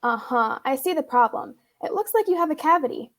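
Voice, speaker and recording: A woman's voice: high-pitched, lively intonation, brisk. A woman playing a reassuring, upbeat dentist in a scripted radio commercial.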